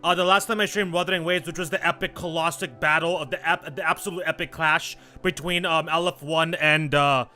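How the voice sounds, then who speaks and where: A man's voice: a little high, steady tone, a little fast, few pauses, sharp tone, soft background music. A man hosting a live game stream with casual, slangy chat, often repeating himself as he greets viewers and comments.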